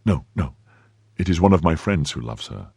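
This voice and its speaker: Man playing a gallant, husky young Frenchman. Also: a bass voice, lively intonation, a little mellow, no echo, clean studio sound, exaggerated voice. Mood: tender, coaxing. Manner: confident, coaxing, quick to give orders. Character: gallant, brisk young Frenchman, affectionate and commanding.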